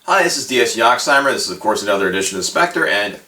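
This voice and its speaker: A middle-aged man hosts a casual solo talk in a low, bright-edged voice. He reminisces haltingly, wandering off and correcting himself as he goes. He sounds set back from the microphone. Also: lively intonation. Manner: halting, digressive, self-correcting. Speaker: host. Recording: roomy echo, distant microphone.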